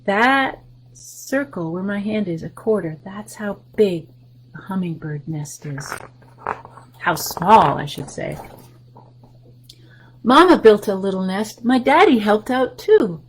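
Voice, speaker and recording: A woman hosting a library story time, reading a picture book aloud to children and explaining the pictures in a gentle voice that often drops to a soft whisper. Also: medium pitch, lively intonation, slow, a little hiss or hum, low-quality recording.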